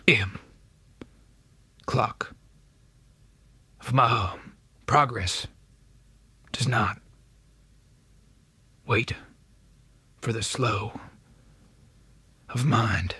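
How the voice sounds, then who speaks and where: An arrogant alien dignitary, speaks in very breathy, half-whispered fragments with a sharp, bright edge. The delivery is slow, halting and coldly condescending, suited to a sci-fi audiobook villain. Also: male voice, mid-range voice, no echo, voice pushed up, heavily acted. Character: arrogant alien counselor, smug, cold, beautifully resonant, dismissive. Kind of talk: audiobook character voice.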